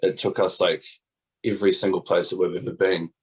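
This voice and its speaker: A man's voice: deep voice, level tone, a little fast, breathy edge. A young man from New Zealand, a band's guitarist, talking casually and joking in an interview. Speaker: guitarist in a band.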